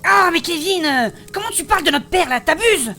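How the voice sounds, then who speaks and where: A woman playing a spirited female blacksmith adventurer, recounting her past in animated, colloquial in a fantasy audio drama. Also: extremely high pitch, normal speed, exaggerated voice. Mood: indignant. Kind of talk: French fantasy audio fiction acting.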